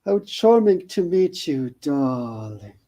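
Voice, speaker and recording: A seductive female spider hostess, all purr and theatrical menace, put on by a man reading a storybook aloud. She lingers over every word at a slow pace, pitched above his usual voice, with a dark, mellow tone. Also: sing-song.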